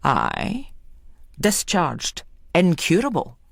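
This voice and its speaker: A woman's voice: fairly low pitch, huge pitch swings, very slow, vocal fry, no echo, studio quality, heavily acted. A woman voicing an eccentric, proud old rustic man with a Scottish accent in an audio story, speaking in folksy dialect. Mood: proud, matter-of-fact. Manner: folksy dialect, matter-of-fact pride. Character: old rustic male hedger, proud, eccentric asylum leaver.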